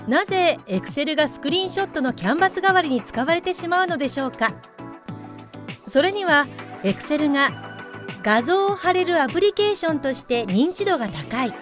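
A woman reading scripted explanatory narration in a polite, measured, instructive way. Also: high voice.

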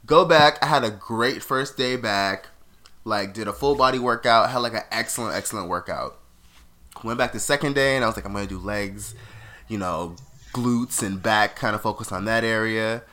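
A man co-hosting a casual podcast while sick, his voice a little nasal yet bright and forceful. He tells stories with frequent laughter and sudden jumps in energy. His pitch sits on the lower side. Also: moderate pace. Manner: animated, laughing storyteller. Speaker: podcast co-host.

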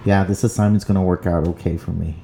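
Mellow, low-voiced male interviewer. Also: steady tone, quick pace, gravelly, a little hiss or hum. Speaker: interviewer, podcast host. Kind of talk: conversational interview.

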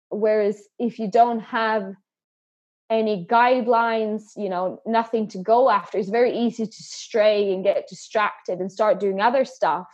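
A woman's voice: mid-range voice, darkish tone, highs cut off. A woman guest in a podcast interview, talking earnestly and often repeating words as she starts a thought. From Sweden and England. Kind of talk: conversational interview.

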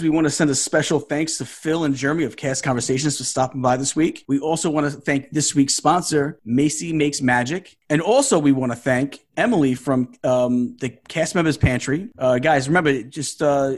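A man hosts a casual podcast and talks quickly. He is upbeat and rambles, with plenty of fillers along the way. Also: on the high side. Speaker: podcast host. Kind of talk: casual chat.

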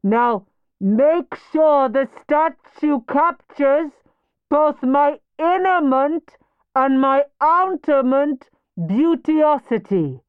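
A woman playing a vain, pompous art teacher in a children's audiobook, with grandiose, drawn-out delivery and mangled fancy words. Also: high-pitched, expressive, dry recording, narrow, tinny audio, full character voice. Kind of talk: theatrical children's audiobook character acting.

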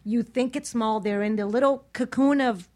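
A woman speaks as a panelist in a casual, frank discussion. Her voice is on the high side, and she tells things in a vivid, animated manner. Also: moderate pace. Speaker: panel guest. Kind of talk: casual explicit chat.

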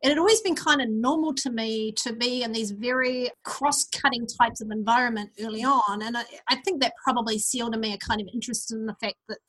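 A woman in her fifties with a softened New Zealand accent tells stories in a chatty, upbeat way. Her voice sits a little high. She is speaking as a guest over an online call. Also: brisk, hardly any pauses, low-quality recording. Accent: New Zealand, softened mid-Pacific. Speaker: guest, anthropology professor. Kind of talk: casual interview over a call. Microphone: remote online call.

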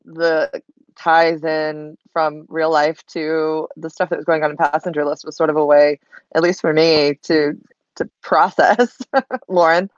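A woman's voice: deep voice, flat delivery, unhurried, no room sound. A woman chatting casually as a panelist, in a lo-fi recording. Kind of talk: casual chat. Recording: dull, lo-fi close dry sound.

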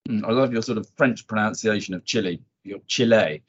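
A man's voice: fairly low pitch, a little fast, breathy edge, lo-fi sound. A man hosting a chat who moves the talk along with easy tag questions. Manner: conversational, steering with tag questions. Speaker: host. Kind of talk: casual chat.

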